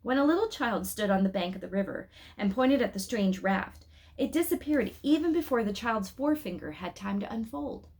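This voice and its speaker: A woman's voice: medium pitch, moderate pace. Woman reading a storybook aloud. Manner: expressive, addresses listeners directly with questions. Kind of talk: storybook read-aloud with asides to young listeners.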